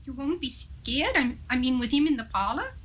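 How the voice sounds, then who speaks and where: A woman's voice: high-pitched, expressive, fairly quick, a bit bright. A woman playing a frightened, flighty young Victorian housemaid in a 1970s radio drama, fluttery and fearful.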